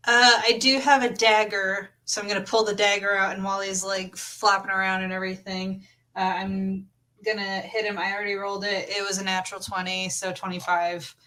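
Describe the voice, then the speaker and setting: A woman's voice: mid-range voice, level tone, brisk, pushed and bright, poor audio. A woman chatting casually as a player in a tabletop game.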